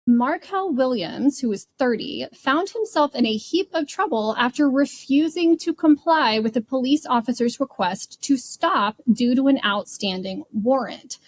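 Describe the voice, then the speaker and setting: Woman w a highish voice, casual funny true crime storytelling, bit giggly and wry. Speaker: podcast co-host.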